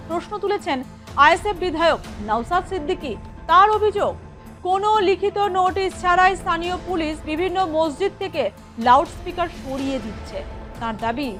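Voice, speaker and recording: A woman's voice: very high voice, a bit bright. A woman reading scripted news narration as a reporter in voice-over.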